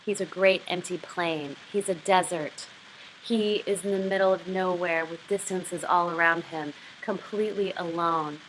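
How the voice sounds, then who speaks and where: A woman's voice: fairly low pitch, fairly even intonation, unhurried, a little hiss or hum, rough recording. A woman giving an explanatory lesson as a writing instructor, talking through a literary passage.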